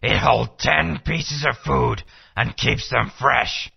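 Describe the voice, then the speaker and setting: A man's voice: mid-range voice, takes it slowly, vocal fry, husky, heavily acted. A man voicing a dim, pleading dinosaur henchman in a video game, speaking in broken, simplified grammar. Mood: slow, explaining. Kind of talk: video game character acting. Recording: dull lo-fi game audio.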